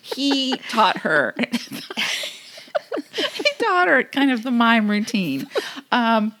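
A middle-aged woman chats casually as a podcast co-host. Her voice sits a little high and swings widely in pitch. She is giggly and quick to dissolve into laughter while telling a story. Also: big pitch swings, a bit bright, a little hiss or hum. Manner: giggly, easily breaks into laughter. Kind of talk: casual chat, retelling a magazine article.

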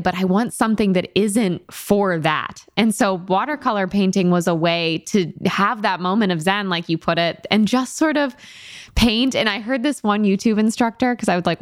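Female interview host, mid-range voice, playful conversational delivery, expressive reactions, dry close-miked sound. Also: mid-range voice, moderate pace, no room sound. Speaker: podcast host, comedian. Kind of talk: casual chat interview.

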